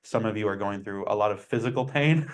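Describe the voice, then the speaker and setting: A man's voice: lowish voice, fairly quick. A man interviewing film actors, asking questions in a chatty, enthusiastic way and often using fillers.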